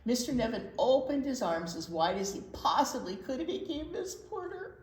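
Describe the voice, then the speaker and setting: A woman's voice: fairly high pitch, very animated, normal speed, a little mellow. A woman presenting a children's storytime, reading a picture book expressively and chatting with simple questions for young listeners. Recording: echoey room, faint background noise.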